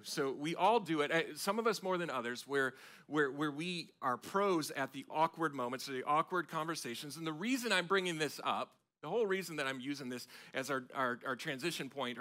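Bright-voiced American pastor preaching. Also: male voice, fairly high pitch. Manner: animated, conversational, gesturing at a lectern, frequent restarts. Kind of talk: sermon. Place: large church stage with audience.

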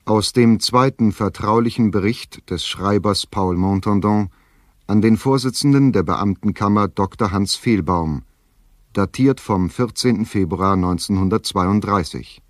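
A man serves as the narrator of an audio drama. His low voice is recorded very dry, and he reads scripted headings in a formal style. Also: unhurried, dry recording.

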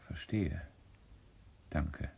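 An actor playing an evasive, hesitant but polite robot owner in a 1970s German radio play. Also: male voice, a bass voice, drawn-out words, vocal fry, darkish tone, breathy edge, heavily acted. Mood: resigned.

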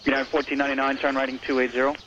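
A male approach controller rattles off headings and clearances at a very fast clip. His mid-range voice stays level and monotone. It comes through a noisy airband scanner radio with a steady hiss. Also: mid-range voice, lo-fi sound. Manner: flat, rapid, businesslike delivery. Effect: two-way radio transmission. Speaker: air traffic approach controller. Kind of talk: clipped radio phraseology.

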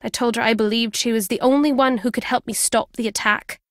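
A woman voicing a guilt-ridden, earnest middle-aged former journalist in a video game, in a measured, confessional way. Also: a little high, steady tone, dry recording, studio quality, lightly acted. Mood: earnest, regretful. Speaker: voice actor.

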